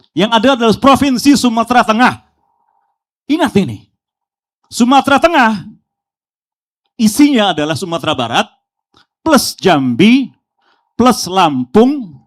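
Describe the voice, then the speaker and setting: A male preacher giving an emphatic speech at a press gathering, listing facts with rising force. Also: high-pitched.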